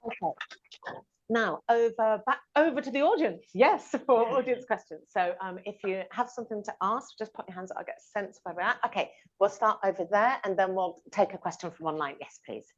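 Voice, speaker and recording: A woman's voice: mid-range voice, lively intonation, fairly quick. A woman chairing a panel seminar, moderating audience questions with effusive praise. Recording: slightly dull conference audio.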